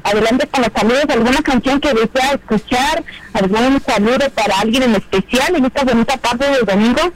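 A man hosting a bilingual community radio show, greeting listeners and callers in an unhurried, friendly way. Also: very high-pitched, poor audio. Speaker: radio host.

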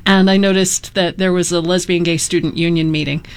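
A woman in her fifties speaks as a guest on a panel. Her voice sits a little low, and she tells her story casually, like chatting. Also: fairly even intonation, brisk, clear, resonant tone. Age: 50s.

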